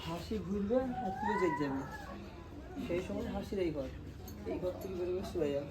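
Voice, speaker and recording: High-pitched, quick man in loud chatter. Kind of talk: informal on-set talk and instructions.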